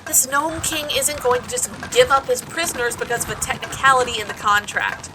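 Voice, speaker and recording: A woman playing a plucky, sharp-tongued and sceptical girl adventurer in an audio drama, dryly sarcastic. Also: a little high, fairly quick, noisy background, natural voice. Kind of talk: full-cast audio drama. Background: music.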